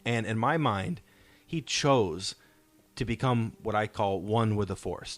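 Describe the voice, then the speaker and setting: A man with a lowish voice hosts a relaxed fan discussion. He explains his ideas with emphasis and likes to pose questions and answer them. Light music plays behind him. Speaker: podcast host.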